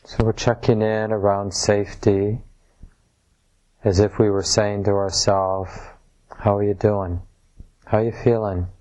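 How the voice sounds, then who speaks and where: Slow, low-voiced man guiding meditation. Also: little pitch movement, a little mellow, low-quality recording. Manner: measured, pausing between short phrases. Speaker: meditation teacher. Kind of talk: guided meditation, softly instructive. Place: room at a retreat center.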